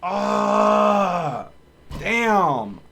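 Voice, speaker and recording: A man's voice: high voice, very animated, extremely slow, gravelly, a bit bright, a little hiss or hum. A man giving casual, blunt and grumbling live commentary while streaming a game. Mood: frustrated. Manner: blunt, grumbling, mildly cursing.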